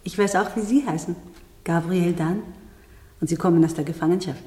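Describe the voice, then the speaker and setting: An actress playing a secretive, chatty young variety dancer in a radio drama, confiding and soft-spoken. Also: female voice, lowish voice, brisk, lightly acted. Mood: curious. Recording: long reverberant room sound.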